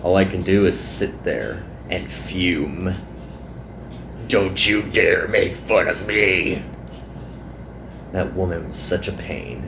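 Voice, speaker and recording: A man's voice: sits low, takes it slowly, creaky, long pauses, roomy sound, background noise, voice pushed down, heavily acted. A man reading the part of a weary, grumbling young male hero in an anime game.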